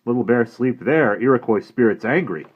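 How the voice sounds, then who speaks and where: A man's voice: medium pitch, expressive, moderate pace, muffled recording, pitched above the performer's own voice. A man voicing a tiny, stern, proud male warrior who barks short broken commands. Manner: clipped broken commands, loud and gruff.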